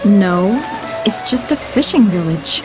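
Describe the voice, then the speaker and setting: A woman's voice: mid-range voice, animated, slow, music in the background. An actress voicing a cool, hard-edged female mercenary who speaks tersely.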